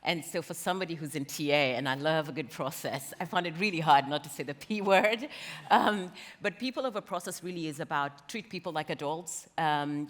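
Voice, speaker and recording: A woman from Kenya speaking conversationally as an interviewee on a panel. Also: low voice. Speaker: interviewee, company talent executive. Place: conference stage in a large hall. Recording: reverberant hall, stage event.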